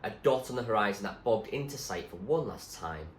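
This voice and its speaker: A man reading a story aloud as a teacher would, in expressive storybook narration. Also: lowish voice, fairly quick. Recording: roomy echo, faint background noise.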